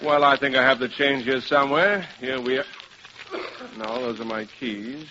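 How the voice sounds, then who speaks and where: An actor playing a fussy, easily exasperated college professor in a vintage radio sitcom, speaking with indignant, over-precise wording. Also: male voice, mid-range voice, moderate pace, lightly acted. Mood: flustered, sarcastic. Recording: noisy, muffled vintage radio recording.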